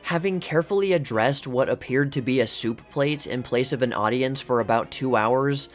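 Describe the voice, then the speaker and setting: Guy narrating old magazine pieces from a script, mid-pitched voice, bit of music behind him. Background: background music.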